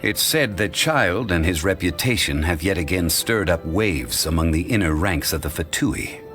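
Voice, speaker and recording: An actor voicing an ancient, dignified male sage for a game, in formal, measured, unhurried speech. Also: low-pitched, lively intonation, a bit bright, soft background music. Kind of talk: studio game voice lines.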